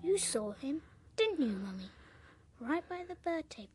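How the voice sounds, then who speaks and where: A woman's voice: high-pitched, big pitch swings, moderate pace, darkish tone, soft background music. A woman playing a bossy, eerie little boy with a British accent in a radio horror drama, insistent, repetitive and taunting.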